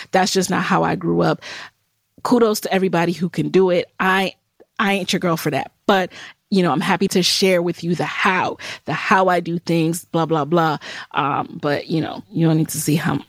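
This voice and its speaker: A woman's voice: lowish voice, fairly quick, vocal fry, no echo. Woman in her thirties, from Jamaica, podcast host, candid chatty talk, dry close mic. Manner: chatty, candid, many fillers and restarts. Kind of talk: solo podcast update, casual chat.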